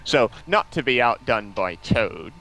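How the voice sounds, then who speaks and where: A man's voice: medium pitch, very animated, unhurried, sharp tone, rough recording. A man chatting casually as a guest on a gaming livestream, often repeating words as he gets sentences going. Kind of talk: casual banter and chat.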